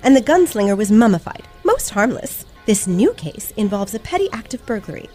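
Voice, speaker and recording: A woman's voice: mid-range voice, very animated, a little fast, mellow, faint music behind, lightly acted. A woman playing an eager, boastful teenage girl detective from the 1870s, with formal, theatrical diction in a radio play. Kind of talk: old-fashioned radio play acting.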